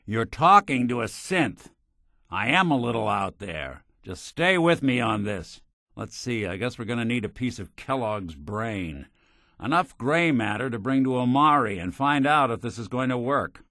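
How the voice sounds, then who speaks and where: An actor playing a weary, wry but kind synth private detective in a video game, with an American accent and a folksy old-time gumshoe delivery. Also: male voice, mid-range voice, a bit bright, no room sound, clean studio sound. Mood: persuasive. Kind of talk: noir detective game dialogue.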